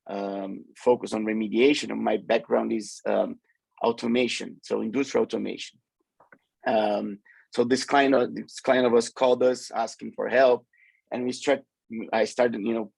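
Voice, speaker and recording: A man's voice: medium pitch, vocal fry, darkish tone, husky, no echo, low-quality recording. A man in his forties whose first language is Portuguese, speaking English with a Brazilian accent as a webinar guest, hesitant with many fillers and restarts. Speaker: guest remediation specialist. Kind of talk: webinar talk.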